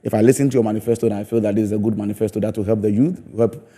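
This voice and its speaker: A man's voice: on the low side, fairly even intonation, rapid-fire, dark tone, breathy edge. A Ghanaian man speaking as a comedian guest in a conversational TV interview, emphatic and often repeating his words.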